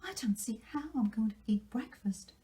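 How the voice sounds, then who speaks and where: A woman voicing an excitable, dreamy young girl from a storybook, with breathy, gushing exclamations. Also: a little high, normal speed, pitched above the performer's own voice, close to an everyday voice. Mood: dreamy excitement.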